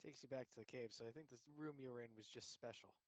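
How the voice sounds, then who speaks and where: A man's voice: mid-range voice, fast, no echo. A man co-hosting casual game commentary in a hesitant, playful way. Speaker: gaming co-host.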